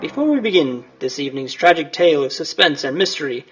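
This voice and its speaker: A man's voice: fairly high pitch, expressive, fast, fairly bright tone. A man narrating as a dramatic old-time radio announcer. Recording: dull lo-fi sound with faint music.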